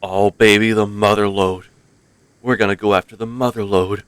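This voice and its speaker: A man's voice: lowish voice, flat delivery, moderate pace, fairly bright tone, a little hiss or hum. A man streaming a video game, giving casual commentary with playful asides.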